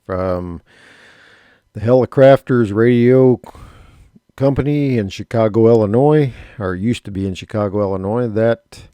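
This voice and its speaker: A man's voice: lowish voice, unhurried, mellow, clear, resonant tone, dry recording. A man giving a casual, plain spoken outro as a presenter. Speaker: record collector presenting.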